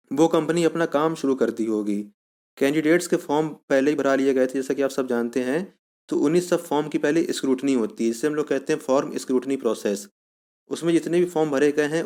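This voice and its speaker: A man's voice: mid-range voice, darkish tone. A man with a mid-range voice presents as a video host. He narrates information methodically, laying out each step in an explanatory way. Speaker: exam-news video host.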